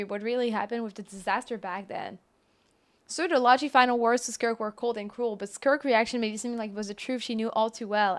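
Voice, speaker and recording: A woman live streaming a game, chatting casually with viewers and reacting expressively. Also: on the high side.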